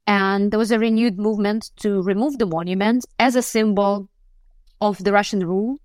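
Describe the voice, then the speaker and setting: A woman's voice: medium pitch, fairly even intonation, moderate pace, a little mellow, dry recording. Woman on a remote call, dry sound. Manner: thoughtful, searching, frequent restarts. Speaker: foreign correspondent guest. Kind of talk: news podcast interview answer.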